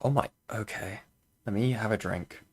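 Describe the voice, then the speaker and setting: A man's voice: on the low side, normal speed. A man co-commentating on a stream, blunt and profane, scoffing at a caller.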